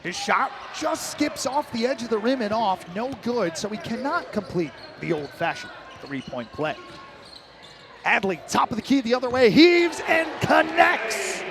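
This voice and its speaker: A man doing live radio play-by-play of basketball as the announcer, calling the action in clipped, rapid-fire phrases. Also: very high-pitched, animated, gravelly.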